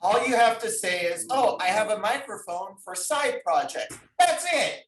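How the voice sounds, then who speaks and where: A man's voice: high-pitched, lively intonation, normal speed, a bit bright. A man chatting casually as a talk show guest, self-deprecating and comically indignant, in an echoey room. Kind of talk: casual chat. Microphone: no dedicated microphone, far from mouth. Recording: echoey room, distant microphone.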